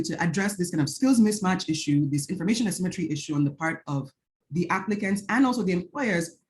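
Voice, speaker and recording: A woman's voice: lowish voice, a little mellow. A woman from Nigeria with a Nigerian accent giving an earnest academic panel talk on a video call. She often checks in with "right?" and restarts her phrases as she thinks. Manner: earnest, frequent "right?" tag questions and restarts. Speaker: panel speaker, researcher.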